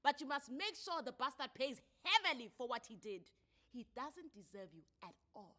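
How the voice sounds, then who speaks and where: Meddling female bystander, South African accent, brash, goading, high-pitched, animated, storybook dialogue. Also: normal speed. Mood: sassy, goading. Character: sassy, meddling female bystander egging on wife.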